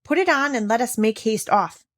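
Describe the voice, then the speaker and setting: Storybook aunt, read by a woman: bossy, hurried, persuasive, impatient with children. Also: on the high side, expressive, a bit bright, dry recording, studio quality, pitched above the performer's own voice. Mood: hurried, coaxing.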